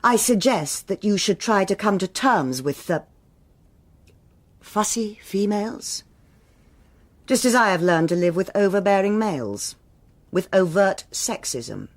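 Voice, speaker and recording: An actress playing a stern, self-assured senior policewoman with a British accent, speaking in a measured, formal and authoritative way in a radio drama. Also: medium pitch, sing-song. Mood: indignant, firm. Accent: British English.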